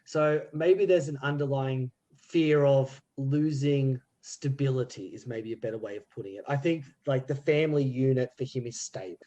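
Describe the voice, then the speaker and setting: A man's voice: mid-range voice, mellow. A man explaining things casually as a podcast guest, often correcting himself and restarting as he thinks aloud. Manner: halting, self-correcting, acts out examples. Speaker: podcast guest, amateur actor.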